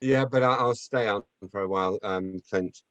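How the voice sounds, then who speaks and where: A man's voice: lowish voice, normal speed, fairly bright tone, no echo, lo-fi sound. A man, an actor at a table read, chatting casually. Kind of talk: casual chat.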